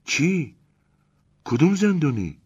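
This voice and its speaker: A man reading aloud and voicing a quick-tempered young wife who shrieks and complains in indignant bursts. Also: medium pitch, expressive, slightly rough, voice pushed up. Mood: curious. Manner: shrieking, exasperated, rapid complaints. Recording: dull lo-fi recording.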